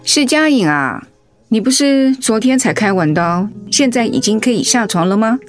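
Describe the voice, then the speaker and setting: A woman's voice: a little high, lively intonation, normal speed, natural voice. An actress playing a caring female friend at a hospital bedside in a Mandarin radio drama. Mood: concerned. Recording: music under the voice.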